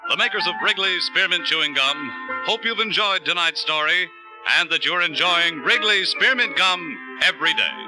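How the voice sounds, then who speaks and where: Male radio announcer, American. High register, polished mid-century sales delivery over a music bed. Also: moderate pace, rough recording. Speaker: sponsor announcer. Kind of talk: 1940s-50s radio commercial and credits announcing.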